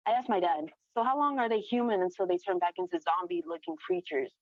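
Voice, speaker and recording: A woman's voice: lowish voice, lively intonation, brisk. A woman reading her own first-person story aloud as a narrator, in an echoey, muffled recording. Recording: echoey room, dull lo-fi sound.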